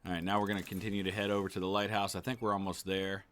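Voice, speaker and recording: Male let's player, fairly low voice, easygoing running commentary, casual. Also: level tone, rapid-fire, fairly bright tone. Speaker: gaming YouTuber.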